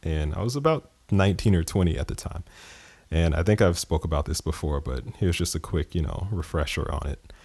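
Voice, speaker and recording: A man's voice: low voice, animated, brisk, a little mellow, husky, dry recording. A man from the East Coast of the United States hosting his podcast, talking in a loose, conversational way with fillers and chuckles. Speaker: podcast host. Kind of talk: casual podcast monologue.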